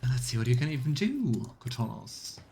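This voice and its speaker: A man's voice: medium pitch, a little fast, creaky, a little hiss or hum. Easygoing male streamer musing over a game. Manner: relaxed, musing, reads text evenly. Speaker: let's play streamer. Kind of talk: casual gaming commentary and reading game text aloud.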